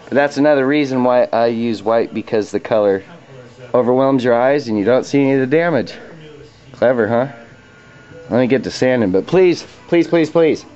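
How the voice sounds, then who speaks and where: A man's voice: medium pitch, normal speed, a little mellow. Muffled cheap audio, bit of music in the background, regular guy explaining a diy job, super informal and slangy. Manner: informal, plain-spoken, slangy. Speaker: DIY auto body repair demonstrator. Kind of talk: casual hands-on tutorial. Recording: dull lo-fi audio, radio music behind. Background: radio music playing in the background.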